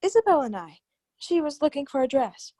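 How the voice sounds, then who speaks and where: Woman acting an anxious, high-voiced, placating wife. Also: very animated, fairly quick, warm tone, no room sound, studio quality. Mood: placating, uneasy. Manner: hesitant, placating. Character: anxious, secretive, placating wife hiding her past. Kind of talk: old-time radio drama acting.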